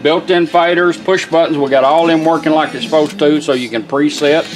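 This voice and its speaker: A man's voice: on the high side, steady tone, fairly quick, over music, poor audio. A man giving a casual hands-on product demonstration in informal, folksy phrasing. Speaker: product demonstrator, car radio builder.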